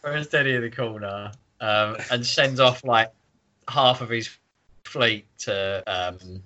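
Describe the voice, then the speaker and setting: A man's voice: mid-range voice, takes it slowly, pushed and bright, lo-fi sound. A man hosting a podcast, chatting casually about a book in an irreverent, sweary way. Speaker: podcast host. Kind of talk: casual book discussion chat.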